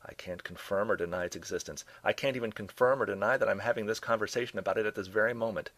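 A man voicing a gruff, suspicious and impatient government agent in a terse manner for a comic spy story. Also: fairly low pitch, fast, fairly bright tone, no echo. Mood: secretive, intense.

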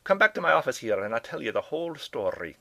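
A man's voice: medium pitch, big pitch swings, quick pace, vocal fry, pushed and bright, no echo, voice pushed up, full character voice. A man voicing a stout, effusive, anxious science professor in a comic spy story. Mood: relieved, effusive.

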